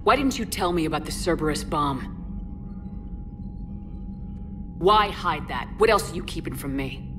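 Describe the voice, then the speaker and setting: A hard-edged military commander. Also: female voice, low voice, lively intonation, brisk, music in the background. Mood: firm. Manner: firm, clipped military. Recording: game score behind.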